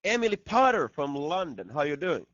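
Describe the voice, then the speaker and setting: A man's voice: on the high side, big pitch swings, normal speed, fairly bright tone, dry recording. A man, a band member, joking and reading out fan questions in a casual video chat. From Finland. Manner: playful, jokey, reads questions aloud. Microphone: laptop video call mic. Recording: dull lo-fi video call audio.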